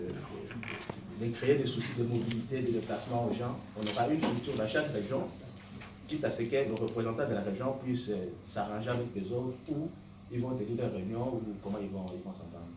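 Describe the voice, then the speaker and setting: A man's voice: lowish voice, moderate pace. A man making an earnest, argumentative impromptu speech from the floor of a political meeting. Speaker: party member speaking from the floor. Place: meeting room, indoors. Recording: roomy, distant mic, noisy hall.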